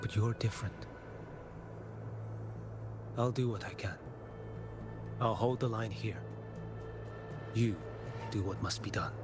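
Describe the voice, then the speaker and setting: A low-voiced, weary warrior speaks very slowly and gravely. It is solemn game dialogue with loud music underneath. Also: male voice. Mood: solemn resolve. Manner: slow, solemn, measured delivery. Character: grave, weary warrior guarding against a dark tide. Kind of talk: dramatic video game acting.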